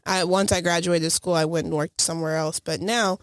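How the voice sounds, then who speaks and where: A woman in her twenties, an esthetician, chatting upbeat and animated on a podcast. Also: fairly low pitch, fairly quick. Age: 20s. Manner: upbeat, animated when retelling stories. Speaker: esthetician and co-host. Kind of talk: casual chat.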